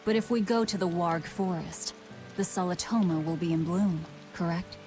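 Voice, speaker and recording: A woman acts a blunt, determined game heroine. Her voice is on the low side, terse and cool-headed, with faint music behind it. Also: fairly even intonation, moderate pace, close to an everyday voice. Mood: cold, determined. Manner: terse, no-nonsense. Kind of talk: video game voice acting.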